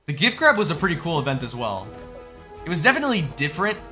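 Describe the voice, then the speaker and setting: A young man giving casual reaction commentary as a gaming YouTuber, informal and slangy, thinking out loud as he goes. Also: fairly high pitch, big pitch swings, fast talker, faint music behind.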